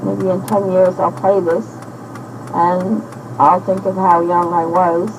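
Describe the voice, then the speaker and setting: A woman in her sixties talking slowly and haltingly into a personal audio diary, with many pauses and fillers, heard from an old cassette tape. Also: on the low side, little pitch movement, noisy background. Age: 60s. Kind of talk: rambling spoken diary.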